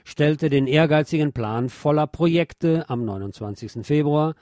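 A man's voice: on the high side, moderate pace, a little mellow, dry recording, clean studio sound. A man presenting scripted radio news as a narrator, reading reports in an orderly way. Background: music under the voice.

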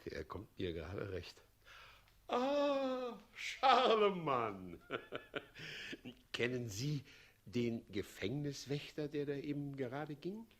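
A pompous tyrant, sharp and swooping. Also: male voice, medium pitch, sing-song, takes it slowly. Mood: mocking menace. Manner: pompous, mocking, commanding, chuckling. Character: gloating tyrant mayor turned president, smug and menacing. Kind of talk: 1960s German radio play acting.

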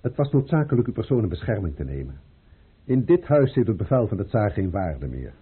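An actor playing a dutiful, formal count in a radio drama. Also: male voice, fairly low pitch, very animated, fairly quick, breathy edge, exaggerated voice. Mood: dutiful, firm. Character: dutiful, formal count delivering orders to royalty. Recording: muffled, phone-like old radio play recording.

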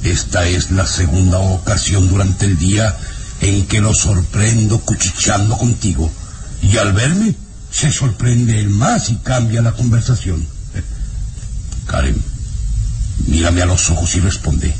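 A man's voice: fairly low pitch, very noisy. An actor playing a sinister, falsely tender baron and father in a vintage radio serial, suspicious and insinuating. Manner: slow, suspicious, insinuating, with sly chuckles. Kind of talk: vintage Spanish-language radio serial acting. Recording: old radio drama with music underneath.

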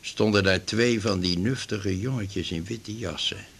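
A man's voice: fairly low pitch, unhurried, vocal fry, a bit bright, husky, full character voice. An actor playing an aggrieved, garrulous retired glue salesman in his fifties in a radio drama, rambling and grumbling. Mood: rueful. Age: 50s. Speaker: patient in a doctor's office. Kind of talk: naturalistic radio drama acting.

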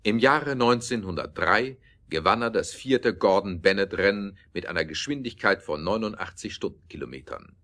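Male narrator for audio drama, low register, measured scripted storytelling. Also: bright, forceful tone.

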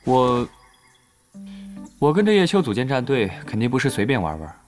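A man's voice: mid-range voice, wildly swooping pitch, moderate pace, soft background music. A young man acting in a Mandarin audio drama as a cautious, nitpicking gamer who talks in wordy, sardonic questions. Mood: wary, insistent.

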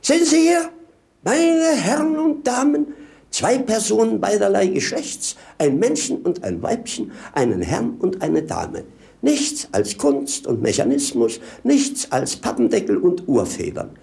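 A masked fool in an old radio play. The voice is fairly high, swings wildly in pitch and has a creaky edge, declaiming in a rambling showman's patter. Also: big pitch swings, unhurried, mellow, heavily acted. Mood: grandiose patter. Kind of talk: 1950s German radio play acting.

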